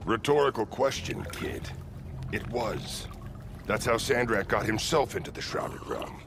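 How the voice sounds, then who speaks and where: An actor playing a gruff, folksy cave-dwelling male mentor with a wry, colloquial way of talking, in a game cutscene. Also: fairly low pitch, big pitch swings, a little slow, noisy background. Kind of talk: video game cutscene acting.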